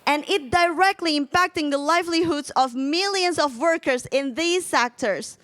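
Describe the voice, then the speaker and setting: A woman's voice: sits high, normal speed, fairly bright tone. A woman delegate from Indonesia giving a formal, earnest prepared speech to a union congress at a podium microphone. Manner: earnest, rallying delivery. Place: large congress hall.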